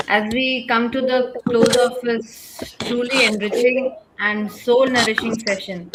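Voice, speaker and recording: A woman giving an earnest, measured vote of thanks at the close of an online webinar. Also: a little high, very noisy. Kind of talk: read formal thank-you speech. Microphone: webinar video call.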